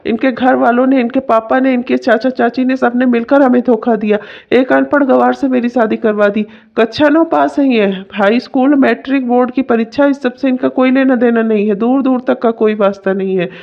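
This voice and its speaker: Woman doing a bossy young husband, highish voice, melodramatic complaining, dull lo-fi recording. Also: steady tone, normal speed, creaky, hardly any pauses. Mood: outraged. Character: domineering, indignant young husband. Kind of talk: melodramatic Hindi family story acting. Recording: dull, muffled lo-fi sound.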